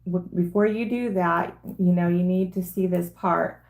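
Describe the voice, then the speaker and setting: Slow, gravelly middle-aged woman. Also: on the low side, fairly even intonation, a little mellow, a little hiss or hum. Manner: chatty, rambling, talks through each step. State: slightly hoarse. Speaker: crafter hosting a live stream. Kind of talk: casual live craft demonstration.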